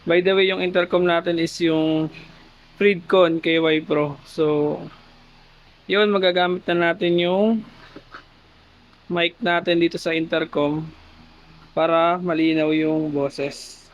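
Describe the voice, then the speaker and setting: A Filipino man with a fairly high voice talks through a casual how-to vlog. He speaks at an unhurried pace, addressing viewers informally like friends. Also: fairly even intonation. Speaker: motovlogger. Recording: muffled helmet intercom mic, background noise.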